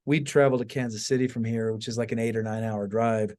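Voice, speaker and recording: A man's voice: lowish voice, fairly even intonation, brisk, a little mellow. A man hosting a podcast in casual conversation, telling stories with emphatic asides and frequent check-ins with his listener. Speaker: podcast host and author. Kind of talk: casual chat.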